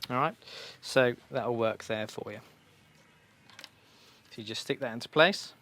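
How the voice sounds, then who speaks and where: A man's voice: medium pitch, a little slow, a bit bright. A man giving an informal, practical step-by-step demonstration in a reassuring, instructional way. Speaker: motorcycle shop staff giving a fitting tutorial.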